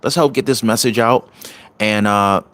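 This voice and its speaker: A middle-aged man hosting a casual livestream, talking in an animated, motivational way with lots of fillers and restarts. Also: on the low side, moderate pace. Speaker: channel host. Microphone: home computer mic on a livestream.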